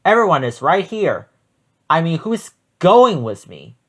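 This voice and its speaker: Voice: medium pitch, very animated, a little slow, a bit bright, voice pushed up. A storybook reader voicing a nervous, eager little goat. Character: Bumsy, jumpy, nervous young male storybook goat.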